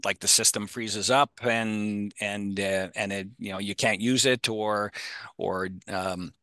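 A man from Canada with a fairly low, bright and forceful voice, recorded close and dry. He puts questions to presenters as a webinar attendee, in a casual conversational style. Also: fairly even intonation, slow pace, no room sound.

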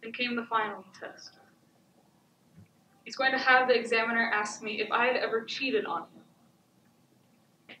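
A teenage woman playing a haunted, self-blaming battered wife in a measured, emphatic stage monologue. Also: a little high, steady tone, sharp tone, muffled recording. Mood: resigned, self-blaming. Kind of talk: high school stage monologue. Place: indoor theatre stage. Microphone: far camera. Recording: echoey noisy theatre, distant microphone.

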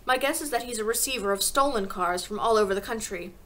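A woman voicing a kind, calm schoolteacher in a storybook, speaking in a gentle, measured and frank way. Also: fairly high pitch, close to an everyday voice.

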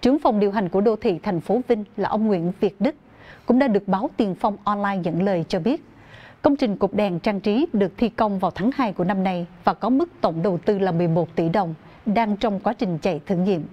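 A woman's voice: mid-range voice, fairly quick, muffled recording. A young woman reading scripted news formally as an anchor in a TV studio.

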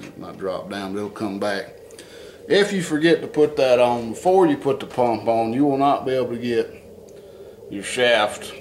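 A lowish-voiced man with a drawl explains his work in a plain, unhurried way, thinking aloud as he goes. He is recorded on a camera mic in a garage workshop with a faint hiss behind him. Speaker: home mechanic demonstrating an engine rebuild. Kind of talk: casual hands-on how-to. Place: barn or garage workshop, indoors.

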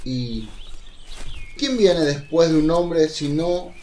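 A man's voice: a little high, animated, slow, mellow. A man acting a humble, emotional old fisherman apostle in a religious radio drama, plain-spoken and unsure of himself. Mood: pleading. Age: older. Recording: noisy, birdsong ambience behind.

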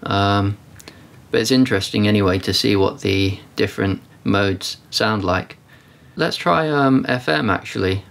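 A man's voice: fairly low pitch, a little slow, gravelly, fairly bright tone. A man giving a casual hands-on radio demo, narrating as an amateur radio reviewer.

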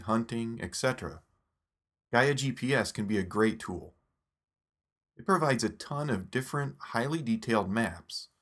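A man narrating a scripted phone app tutorial in a steady, instructive step-by-step voice. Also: medium pitch.

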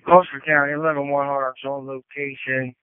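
A man's voice: medium pitch, flat delivery, a little fast, clear, resonant tone, dry recording. A man, a fire officer on scene, giving matter-of-fact reports over two-way radio. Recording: tinny, dry radio transmission.

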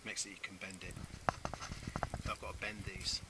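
A man's voice: sits low, flat delivery, normal speed, very noisy. A man giving a casual step-by-step how-to while working outdoors on a garden patio, often restarting phrases as he explains. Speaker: DIY video maker.

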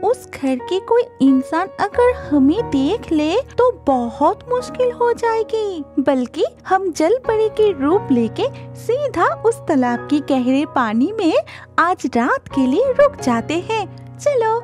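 A woman voicing a sweet, timid young fairy mermaid in a children's cartoon, in a girlish, plaintive, expressive way. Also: very high-pitched, takes it slowly, soft background music, exaggerated voice. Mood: weary, coaxing.

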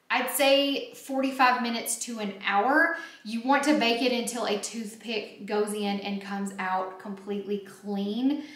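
A woman's voice: fairly high pitch, fairly bright tone. A woman in her thirties hosting a casual home baking demonstration, chatting informally and directly to viewers. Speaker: home baking vlog host. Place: home kitchen, indoors. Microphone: camera a few feet away. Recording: echoey kitchen, background noise, dish clinks.

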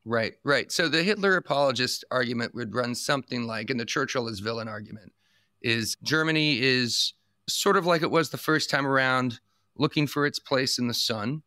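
A man hosting a podcast in a bright, forward voice. He speaks in a measured, deliberate way and sometimes repeats a word as he restarts. The recording is dry and close-miked. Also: medium pitch, no echo. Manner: measured, restarts words, lays out arguments at length. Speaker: podcast host. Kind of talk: podcast interview.

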